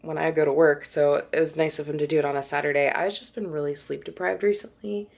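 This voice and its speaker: A woman's voice: low voice, steady tone, fast, gravelly, a little mellow. Chatty young woman vlogging at home. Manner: chatty, lots of "like" fillers and laughs. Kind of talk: casual vlog chat. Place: home kitchen.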